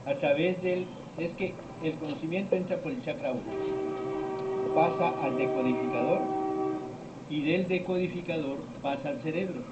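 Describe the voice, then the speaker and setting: A middle-aged man, a teacher of esoteric topics, giving a slow, rambling informal lecture with many pauses and quoted dialogue. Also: a little high. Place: lecture room. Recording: echoey room, distant mic, dull lo-fi sound, noisy.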